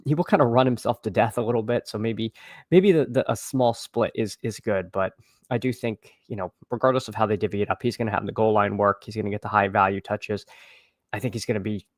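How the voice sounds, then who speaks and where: Slightly hoarse man hosting a casual livestream. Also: fairly low pitch, no room sound, clean studio sound. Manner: halting, repeats phrases as he thinks aloud. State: slightly hoarse, froggy after losing his voice at a game. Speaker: fantasy football livestream host. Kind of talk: casual livestream chat and sports commentary.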